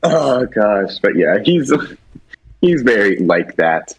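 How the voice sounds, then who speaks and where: A man chatting casually as a co-creator about original characters, slangy and hesitant, quick to laugh. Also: on the low side, sing-song, low-quality recording. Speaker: co-creator of an animated series project.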